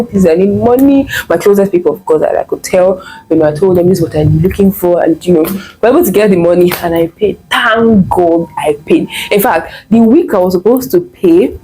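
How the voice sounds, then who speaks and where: A woman's voice: fairly low pitch, rough recording. Chatty Nigerian woman telling a story. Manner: chatty, animated retelling with quoted dialogue and laughter. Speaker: vlogger and online MBA student. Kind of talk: casual storytelling to camera.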